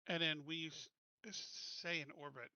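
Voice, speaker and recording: A man's voice: a little high, fairly even intonation, a little slow, pushed and bright, smooth, no room sound, highs cut off. A man chatting casually as a player in a tabletop roleplaying game, asking questions as he goes.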